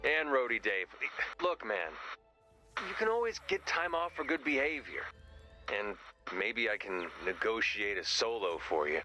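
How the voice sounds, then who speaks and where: An actor playing a dry, sardonic but loyal rock musician in a video game, speaking with wry sarcasm. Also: male voice, fairly low pitch, expressive, measured pace, sharp tone, soft background music. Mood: exasperated.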